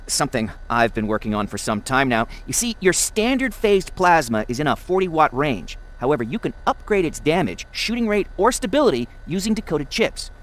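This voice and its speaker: A fussy, eager male lab director in a sci-fi game. His voice sits fairly high and swings widely in pitch as he explains things with slight pedantry. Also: big pitch swings, a little fast, few pauses. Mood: keen, explanatory. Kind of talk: dramatic video game acting.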